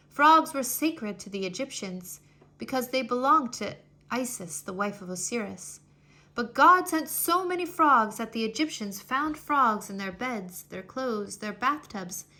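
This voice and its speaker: Bright, high-voiced woman reading a children's story. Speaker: storybook reader. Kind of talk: expressive scripted read-aloud for children.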